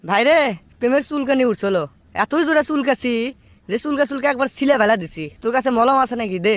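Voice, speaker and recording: A man's voice: extremely high pitch, fast, a bit bright, no echo. A man voicing a heartbroken, stubborn young cartoon character with a Bogura Bangladeshi accent, complaining in a plaintive, earnest way. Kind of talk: comic cartoon dialogue.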